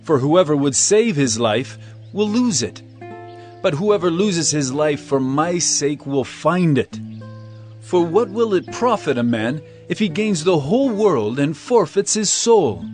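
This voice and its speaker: Admonishing holy teacher, declamatory, animated intonation, audio Bible drama, music underneath. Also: male voice, fairly high pitch, a little slow. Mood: earnest. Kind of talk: dramatized scripture reading.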